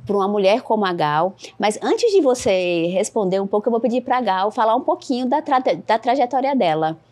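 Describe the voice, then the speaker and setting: A woman's voice: medium pitch, animated, fairly quick, a little mellow. A woman hosting a panel conversation, explaining a program and asking her guests engaged follow-up questions. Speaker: host.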